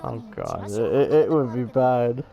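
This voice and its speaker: Low-voiced woman doing profane game commentary. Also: big pitch swings, unhurried, gravelly, lo-fi sound, soft background music. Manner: crude, irreverent dark humour, giggly. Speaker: gamer, streamer. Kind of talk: casual gameplay commentary, profane.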